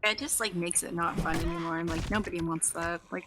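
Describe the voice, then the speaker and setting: A woman's voice: lowish voice, fairly even intonation, fairly quick, noisy background. A woman being interviewed, chatting informally with lots of slang and casual swearing. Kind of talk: casual chat.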